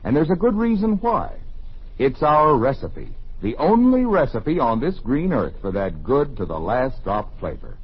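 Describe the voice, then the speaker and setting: Male 1950s radio pitchman, emphatic, very wide intonation, husky edge, muffled old broadcast recording. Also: big pitch swings, unhurried. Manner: emphatic salesman delivery. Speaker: radio announcer. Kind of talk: 1950s radio commercial read, scripted.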